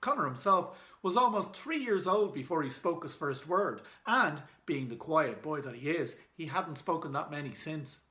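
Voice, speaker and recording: A man's voice: a little high, expressive, moderate pace, hardly any pauses, bright, forceful tone. An Irish man reading aloud in a children's storytime style, as an author and illustrator. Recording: echoey room.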